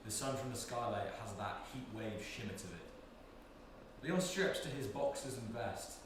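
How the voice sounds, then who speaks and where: A man's voice: fairly low pitch, unhurried, distant mic, background noise. A man reading stage directions as scripted narration, recorded in an echoey room away from the mic.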